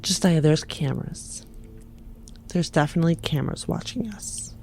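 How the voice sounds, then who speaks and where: A woman playing a polite, compassionate wife who hopes to adopt, gentle and hesitant, in a tabletop game. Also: deep voice, moderate pace, creaky, whispered. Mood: hushed, apologetic.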